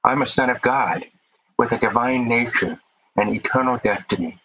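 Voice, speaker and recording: A man's voice: mid-range voice, normal speed, sharp tone, husky, highs cut off. A man with a deaf accent, a podcast guest speaking earnestly about his life, sometimes repeating words as he starts. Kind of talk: casual interview talk.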